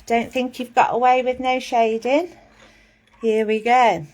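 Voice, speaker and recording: A woman's voice: on the high side, level tone, unhurried, darkish tone. A woman giving a casual live drawing lesson, chatty and self-mocking as she talks through each step while drawing. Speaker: drawing teacher.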